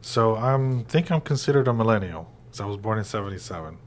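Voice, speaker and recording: Casting: forties male co-host, lower pitch, loose rambling delivery with fillers. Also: fast. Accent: American. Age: 40s. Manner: relaxed, rambling with many fillers. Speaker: real estate podcast co-host. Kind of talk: casual conversational chat.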